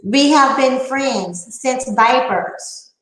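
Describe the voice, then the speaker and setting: A woman's voice: high voice, extremely slow, fairly bright tone, echoey room, rough recording. A woman nearing fifty giving a candid opinion monologue, emphatic and repeating her phrases for effect. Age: late 40s. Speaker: online panel talker.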